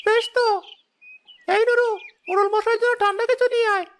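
A woman's voice: very high-pitched, fairly even intonation, fairly quick, a little hiss or hum. A woman voicing an eager, respectful young village shop seller in a cartoon. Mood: eager, deferential. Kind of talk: high-pitched cartoon dubbing.

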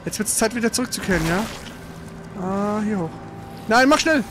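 Male let's player, casual and excitable, colloquial live game commentary over clearly audible game noise. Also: sits high, moderate pace. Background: loud video game sound.

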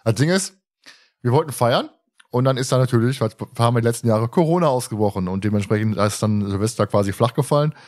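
A man's voice: medium pitch, fast talker, darkish tone. Man chatting casually as podcast host.

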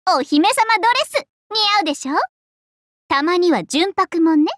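High-pitched, vain princess idol. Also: female voice, animated, normal speed, a bit bright, no room sound, clean studio sound. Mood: proud, showy. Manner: haughty, theatrical, playful, self-admiring. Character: vain, bubbly young princess idol singer, self-styled Cinderella. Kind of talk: Japanese anime mobile game voice acting.

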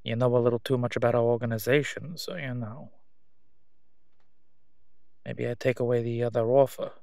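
A man's voice: mid-range voice, fairly even intonation, gravelly, dark tone, dry recording, clean studio sound, close to an everyday voice. Man acting out a sleazy, menacing crime boss. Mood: quietly threatening. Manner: oily, taunting, drawn-out delivery with stalling pauses. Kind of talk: solo audio roleplay acting.

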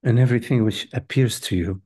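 A man leading a guided meditation as a teacher, speaking gently and hesitantly in short phrases with long pauses between them. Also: mid-range voice, breathy edge. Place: live online group session.

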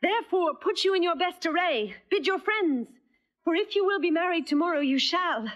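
A woman's voice: very high voice, lively intonation, a bit bright. An actress playing a witty, playful young noblewoman in disguise, in classical stage verse. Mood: earnest. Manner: quick, teasing, bold. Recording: dull lo-fi audio.

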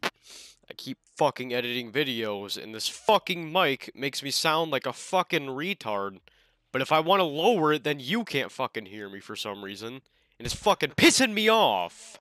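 Lively, irritated young man gaming. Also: mid-range voice, moderate pace, no echo. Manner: irritated, foul-mouthed. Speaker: video maker gaming with friends. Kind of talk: casual gaming voice chat.

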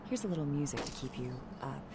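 A woman's voice: low-pitched, animated, quick pace, over music. A woman voicing a wry, flirty late-night radio hostess, sardonic and teasing.

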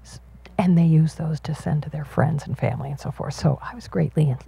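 A woman voicing a live sign language interpretation of a panel talk, halting, with fillers and restarts as she follows the signer. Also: deep voice, gravelly, dark tone, breathy edge.

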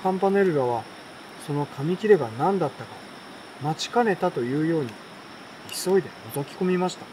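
A young adult man reading a bedtime story aloud in a relaxed, unhurried way, with pauses between short phrases. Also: fairly high pitch, slight background noise, low-quality recording. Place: outdoors at night beside a campfire. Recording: campfire, insects and stream behind voice.

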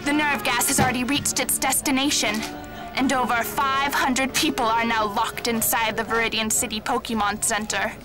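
A woman playing a menacing, theatrical terrorist villain in a radio drama, making deliberate, formal threats. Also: a little high, close to an everyday voice. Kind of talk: action-thriller radio drama acting.

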